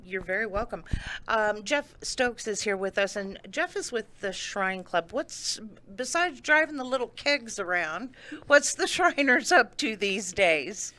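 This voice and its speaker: A woman's voice: medium pitch, expressive, pushed and bright. A woman hosting a local radio interview, friendly and affirming as she asks her guests questions. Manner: friendly, affirming, quick to laugh. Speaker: radio host.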